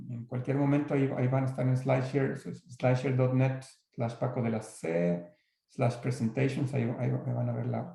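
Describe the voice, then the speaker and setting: A man with a Mexican accent answers questions after his talk, pausing and restarting often. His mid-pitched voice is mellow with a gravelly edge. The recording carries a heavy room echo. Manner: hesitant, many restarts and fillers. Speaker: guest speaker.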